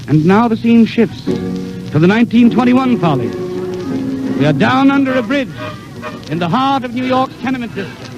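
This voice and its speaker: High-pitched grandiose 1930s radio announcer man. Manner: grandiose, theatrical delivery. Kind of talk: florid scripted 1930s radio narration. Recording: muffled 1930s radio broadcast, faint music.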